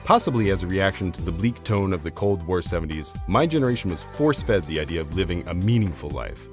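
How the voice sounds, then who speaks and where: A man narrating a scripted argumentative essay in a formal, measured, academic manner. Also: deep voice, animated, soft background music. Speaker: video essayist.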